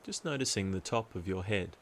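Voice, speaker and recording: A deep-voiced man leads a guided meditation. He speaks calmly and deliberately, leaving long, soothing pauses between phrases. A soft, steady hiss sits behind the voice.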